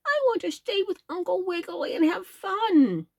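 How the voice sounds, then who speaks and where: A woman voicing a big, playful elephant who talks in a high, excitable, whiny way in a storybook reading. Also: normal speed, vocal fry, dry recording, studio quality, full character voice. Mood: excited, pleading.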